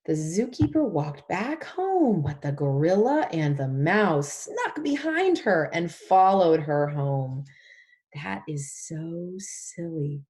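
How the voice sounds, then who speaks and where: A woman's voice: sits low, big pitch swings, takes it slowly, a little mellow. A woman leading a picture-book read-along for young children, talking in an exaggerated, sing-song way and drawing out key words.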